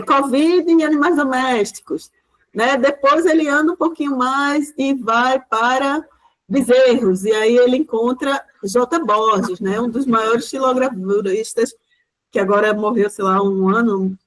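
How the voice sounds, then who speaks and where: Female lecturer, slightly high voice, informal and explanatory, checking in with frequent tag questions. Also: rough recording. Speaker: university lecturer. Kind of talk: informal lecture presenting a project.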